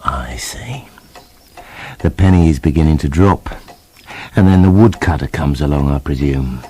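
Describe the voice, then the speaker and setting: An actor voicing a squeamish, sarcastic big bad wolf in a comic radio play, husky and forever complaining. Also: male voice, very deep voice, animated, normal speed, dark tone, full character voice. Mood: suspicious. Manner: sardonic, put-upon complaining.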